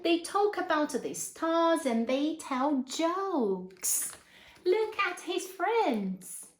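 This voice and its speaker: A woman's voice: very high voice, very animated, drawn-out words, echoey room. A woman reading a picture book aloud to young children, expressively, with asides and questions addressed to her listeners.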